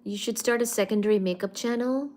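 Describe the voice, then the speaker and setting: A young woman from India with an Indian accent, hosting a casual livestream and answering viewer comments in an unhurried, hesitant way with frequent fillers. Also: medium pitch, darkish tone. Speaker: livestream host. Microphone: phone or webcam on a live stream.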